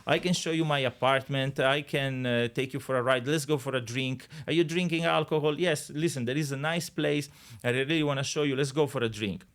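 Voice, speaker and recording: Italian-accented man, lively and joking. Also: mid-range voice, fairly quick. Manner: animated, jokey storytelling with frequent restarts. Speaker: guest, real estate agent. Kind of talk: casual podcast conversation.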